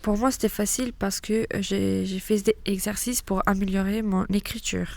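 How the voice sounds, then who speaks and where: A young woman in her late teens gives a thoughtful, measured personal testimony as a student. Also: medium pitch, steady tone, gravelly, a little mellow.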